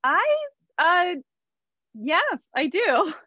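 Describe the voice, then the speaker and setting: A woman's voice: very high-pitched, lively intonation, extremely slow, no echo, full character voice. A woman playing a polite, eager but bewildered new employee in a stage play reading, speaking hesitantly. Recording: muffled, dry video call.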